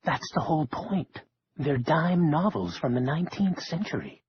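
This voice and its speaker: Man acting an excitable, fussy male librarian. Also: a little high, normal speed, husky, dry recording, full character voice. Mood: puzzled, earnest. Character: nervous, eager, bookish male librarian. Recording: dull lo-fi audio, faint noise.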